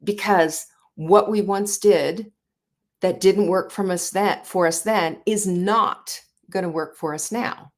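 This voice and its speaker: A woman's voice: mid-range voice, takes it slowly. A woman in her sixties hosting a talk show, speaking earnestly and conversationally with frequent fillers and restarts. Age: 60s. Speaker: show host. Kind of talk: motivational talk.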